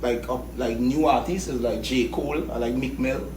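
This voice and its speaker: A man's voice: medium pitch, steady tone, moderate pace. A man with a Trinidadian accent, a hip hop artist talking casually as an interview guest, laid-back and often saying "you know what I mean". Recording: echoey room, mic at a distance, background noise.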